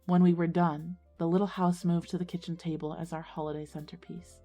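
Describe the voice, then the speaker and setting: A woman acting as an earnest schoolgirl visionary, narrating her own ghost story in a measured way for an audio drama. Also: fairly low pitch, little pitch movement, warm tone, faint music behind. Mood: wistful, nostalgic. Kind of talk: scripted first-person dramatic narration.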